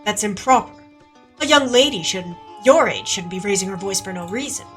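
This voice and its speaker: Woman as menacing man, composed, mock-polite, sinister, crisp bright edge. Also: mid-range voice, expressive, normal speed, soft background music, lightly acted. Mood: mocking calm.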